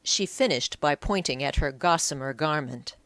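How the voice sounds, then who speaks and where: A woman's voice: sits low, moderate pace, a bit bright, dry recording. A woman narrating an audiobook in a dramatic, scripted storytelling style.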